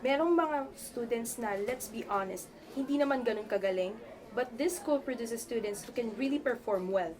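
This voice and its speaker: Woman playing a high-voiced, stern Filipina professor. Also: moderate pace, a little mellow, natural voice. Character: stern, scolding female college professor. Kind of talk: amateur radio drama acting in Taglish.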